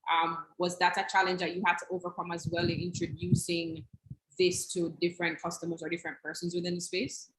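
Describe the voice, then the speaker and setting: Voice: mid-range voice, a little fast, creaky, a bit bright, roomy sound, poor audio. An interviewer asking long questions and thanking the guest in an online meetup.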